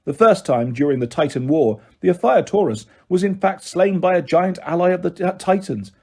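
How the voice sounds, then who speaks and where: Man voicing a solemn immortal huntress, husky. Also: sits high, normal speed, darkish tone. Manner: grave, formal, earnest. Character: solemn ancient immortal huntress in girl's form.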